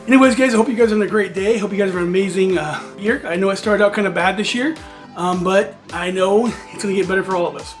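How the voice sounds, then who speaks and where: Raspy-voiced man in his forties. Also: high-pitched, fast, soft background music. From United States. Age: 40s. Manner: rambling with many fillers and restarts. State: hoarse from allergies. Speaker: reptile channel host. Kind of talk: casual vlog talk.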